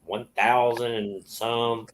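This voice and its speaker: A man's voice: mid-range voice, flat delivery, slow pace, a little hiss or hum. A man co-hosting a casual livestream chat. He sounds stuffed up and talks in an easygoing, chatty way. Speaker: livestream co-host.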